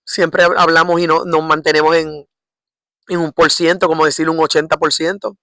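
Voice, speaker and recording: A middle-aged woman with a Puerto Rican accent preaching informally in a halting, repetitive way, often checking for agreement with short tag questions. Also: low-pitched, level tone, fairly bright tone, no echo, clean studio sound. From Puerto Rico. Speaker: lay preacher. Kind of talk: informal religious exhortation.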